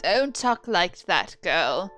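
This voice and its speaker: A woman voicing a fierce, protective old village grandmother who speaks slowly and gruffly. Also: fairly low pitch, sing-song, fairly bright tone, soft background music, full character voice. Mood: stern tender. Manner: slow, gruff, rough-spoken.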